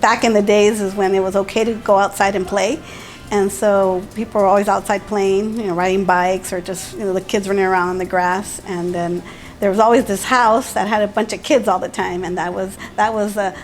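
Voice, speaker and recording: A woman's voice: mid-range voice, steady tone, brisk, rough recording. A woman chatting and telling stories about a childhood neighbor, slipping into bits of Spanish. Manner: chatty storyteller, slips into Spanish. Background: soft music.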